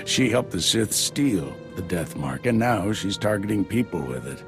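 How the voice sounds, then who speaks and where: A battle-worn Jedi master in a game cutscene. The voice is deep and full of gravel, with a dry, steady authority. Music plays clearly behind it. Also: male voice, moderate pace. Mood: commanding. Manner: dry, wry, commanding. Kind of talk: video game cutscene acting.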